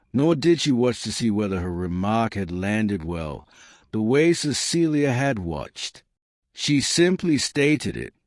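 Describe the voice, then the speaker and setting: A man with a low voice narrates a period romance in a close, dry recording. His phrasing is measured and deliberate, and his pitch moves freely up and down through each literary sentence. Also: no room sound. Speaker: audiobook narrator. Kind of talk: scripted narration of a historical romance.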